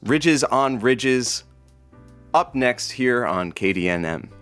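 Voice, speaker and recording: An easygoing actress playing a radio show sidekick, with a very low voice for a woman and a lively, swinging pitch. She is supportive and casual, with an announcer's turn of phrase in a comedy podcast. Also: slow, soft background music, full character voice. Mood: upbeat announcing. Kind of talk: audio comedy drama, radio announcing and chat.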